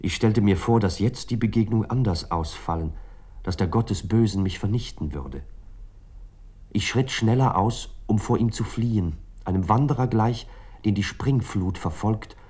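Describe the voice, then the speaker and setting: An actor playing a reflective writer recounting a night of war in a literary radio drama, articulate and brooding. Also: male voice, fairly low pitch, moderate pace, mellow, slightly rough. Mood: haunted, brooding.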